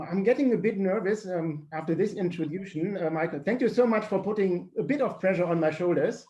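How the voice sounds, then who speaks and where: A man with a German accent, a teacher presenting physics slides on a video call in earnest, slightly halting phrasing. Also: high voice, a little mellow, dull audio.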